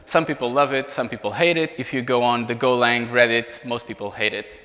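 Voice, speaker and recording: A man in his thirties with a Portuguese accent, giving an informal technical presentation as a software engineer. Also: medium pitch, steady tone, brisk. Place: indoor meetup room beside a large screen. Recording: reverberant hall sound.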